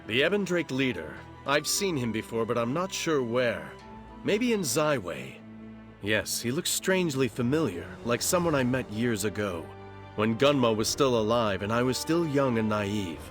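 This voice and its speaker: Actor as musing veteran with animated delivery. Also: male voice, medium pitch, moderate pace, natural voice. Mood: pensive. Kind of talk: video game cutscene voice acting. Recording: music behind the voice.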